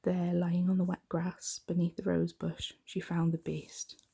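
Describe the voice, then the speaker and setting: Hushed, breathy woman reading a bedtime story. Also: lowish voice, monotone, unhurried. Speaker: ASMR storyteller. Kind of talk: soft-spoken ASMR bedtime story reading.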